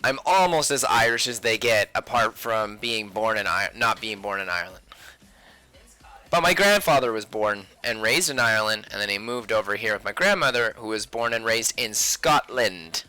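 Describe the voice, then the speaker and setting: A man's voice: on the low side, expressive, moderate pace, sharp tone, poor audio. A man hosting a casual drawing livestream, chatting playfully with viewers and talking to himself as he works. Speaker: livestream host, sketch artist.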